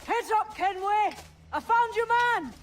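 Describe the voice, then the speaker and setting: A woman's voice: extremely high pitch, animated, measured pace, slight background noise. An actress playing a tough, hot-tempered young pirate woman who passes as a lad, blunt and salty, in a video game. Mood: urgent. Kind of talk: video game cutscene acting.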